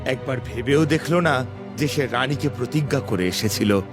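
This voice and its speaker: A man's voice: medium pitch, moderate pace. A man narrating a scripted fairy tale as a dramatic storyteller. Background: music.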